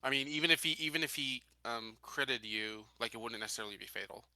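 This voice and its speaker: Guy player in a tabletop rpg, super bright tense voice, explaining tactics casually, no echo. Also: mid-range voice, fast talker.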